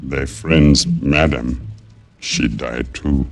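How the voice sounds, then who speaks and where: Very deep-voiced giant servant. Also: male voice, fairly even intonation, drawn-out words, creaky, full character voice. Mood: solemn, wary. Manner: terse broken English, blunt simple phrases. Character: giant Haitian male servant, loyal, simple, solemn. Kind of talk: 1940s-50s radio drama acting. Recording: old radio recording, faint music behind.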